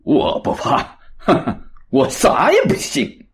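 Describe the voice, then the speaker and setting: A man's voice: mid-range voice, wildly swooping pitch, takes it slowly. An actor playing a hostile, smug bald man who informs on others in a radio drama, sneering and taunting. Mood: defiant. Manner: belligerent, taunting.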